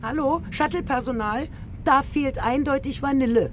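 A woman's voice: high-pitched, normal speed, noisy background, lightly acted. An older woman playing a feisty, wry grandmother in a children's radio play, grumbling in a colloquial way. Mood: wry, indignant.

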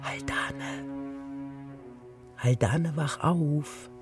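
An older woman playing a spirited, affectionate grandmother in a radio play, talking in a lively way. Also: rumbling low, drawn-out words, exaggerated voice. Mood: gentle, casual. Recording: reverberant hall, music behind.

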